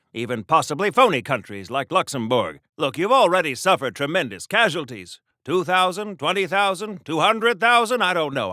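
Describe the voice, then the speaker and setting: An actor playing a naive, blustering 1940s patriotic American comic-book hero, with pompous, theatrical diction in an old radio serial style. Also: male voice, on the high side, sharp tone, dry recording, studio quality. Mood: earnest, chatty. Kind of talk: golden-age radio serial style game acting.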